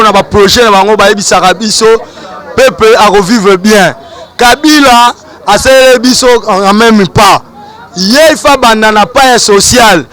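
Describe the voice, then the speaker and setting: A man's voice: high voice, a bit bright. A man, complaining indignantly in a street interview. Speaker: person in the street.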